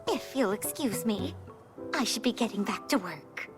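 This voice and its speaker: Woman doing a chatty young maid in a video game, high animated voice, gossipy and theatrical, music behind. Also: moderate pace, natural voice. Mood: flustered, playful. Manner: theatrical, gossipy, breathless speculation. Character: excitable chatty young castle maid with wild imagination. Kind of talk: video game cutscene acting.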